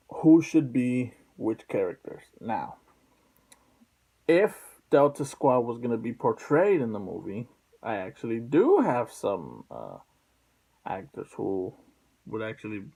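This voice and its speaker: A man speaking casually as a video host, blunt and sweary, in a halting, rambling way. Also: medium pitch, big pitch swings, gravelly, warm tone. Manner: blunt, profane. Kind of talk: casual opinion vlog.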